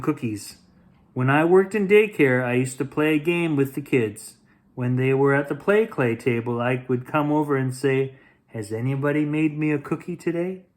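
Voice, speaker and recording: A man hosting a bedtime story, reading to children expressively and playfully. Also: medium pitch. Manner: expressive, with playful repeated sound effects.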